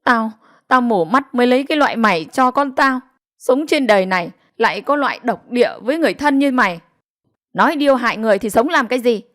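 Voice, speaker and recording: A woman's voice: fairly high pitch, very animated, fast, fairly bright tone. A woman voicing a domineering, hot-tempered elderly mother-in-law in an audio story, scolding harshly and stammering with anger. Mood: enraged.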